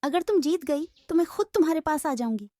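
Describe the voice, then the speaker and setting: Woman as a high-voiced, clever little bird. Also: lively intonation, a little fast, mellow, no room sound, studio quality. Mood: bold, challenging. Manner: confident, challenging. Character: tiny clever fearless female tailorbird.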